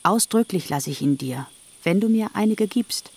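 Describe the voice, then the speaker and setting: Husky pleading young sister in a radio play, gravelly and earnest. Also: female voice, medium pitch, lively intonation, moderate pace, a little mellow, no echo. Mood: wistful. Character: Rachel, young woman, barren, pleading sister.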